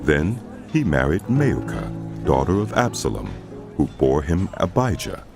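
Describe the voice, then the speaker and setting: A man narrating scripture as a scripted drama, measured and solemn, with music behind his voice. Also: extremely low pitch, wildly swooping pitch, creaky. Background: loud music.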